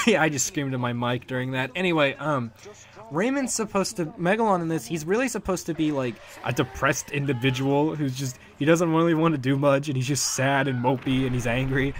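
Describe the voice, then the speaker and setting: A man's voice: medium pitch, a little hiss or hum. Man giving casual, rambling commentary. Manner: rambling, halting, chuckling now and then. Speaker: fan dub creator giving behind-the-scenes commentary.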